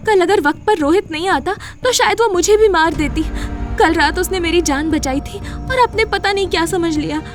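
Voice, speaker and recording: A woman's voice: very high voice, moderate pace, over music. A woman playing a frightened, distressed college-age girl in an anxious, pleading way for an audio horror drama. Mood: upset, accusing.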